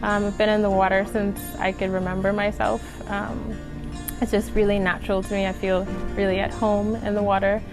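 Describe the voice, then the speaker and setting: A woman from the Bahamas speaks in a documentary interview with very even, flat intonation. Music is clearly audible beneath her mid-pitched voice. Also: a little fast, low-quality recording. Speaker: conservation researcher being interviewed.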